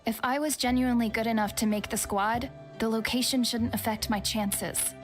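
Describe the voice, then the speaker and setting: An actor playing a modest young balloon operator who speaks softly and hesitantly. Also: male voice, very high-pitched, steady tone, moderate pace. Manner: soft-spoken, hesitant. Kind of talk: video game voice acting. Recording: game music underneath.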